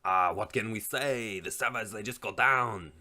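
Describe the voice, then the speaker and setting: A man voicing a mock French male commentator in a put-on French accent, with a slow, drawn-out delivery. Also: fairly low pitch, sharp tone. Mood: mock-wistful.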